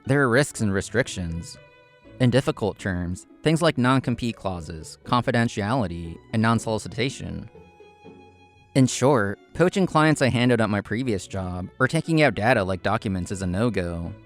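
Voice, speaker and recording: A man playing a proud, competitive young consultant in a manga drama, intense and curt, narrating and speaking his lines. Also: lowish voice. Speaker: narrator and main character.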